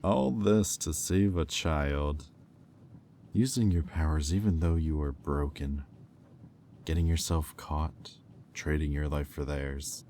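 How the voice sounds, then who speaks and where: A man playing a smug, predatory demon in a roleplay audio drama, teasing and taunting in a silky manner with deliberate pauses. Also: extremely low pitch, dark tone, a little hiss or hum, full character voice. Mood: scornful. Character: smug, predatory male demon toying with a captive angel.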